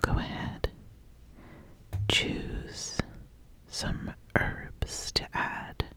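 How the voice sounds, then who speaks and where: A woman playing a slow, whispering witch mentor. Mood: gently approving. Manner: slow, deliberate, gently approving, instructing. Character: ambitious witch sizing up a would-be apprentice. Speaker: ASMR host. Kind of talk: whispered, soft-spoken ASMR roleplay.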